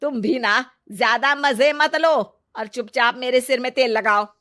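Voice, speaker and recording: A woman playing a sharp-tongued, bossy old grandmother, scolding and teasing in a family story. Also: on the high side, normal speed. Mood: mock scolding.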